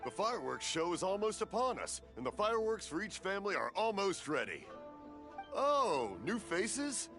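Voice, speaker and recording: A man's voice: sits high, sing-song, moderate pace, a bit bright, full character voice. A man playing a genial older father who runs a fireworks workshop in a video game. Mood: welcoming, curious. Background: background music.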